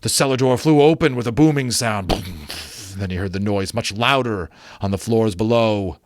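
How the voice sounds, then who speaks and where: A man reading a classic story aloud as dramatic narration, theatrical and deliberate, building suspense. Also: lowish voice, a little hiss or hum. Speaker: amateur audiobook narrator.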